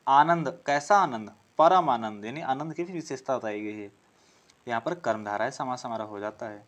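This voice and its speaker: A man's voice: medium pitch, normal speed, a bit bright. A man teaching an exam-prep class, working through questions, asking them aloud, answering them and repeating key phrases as he explains.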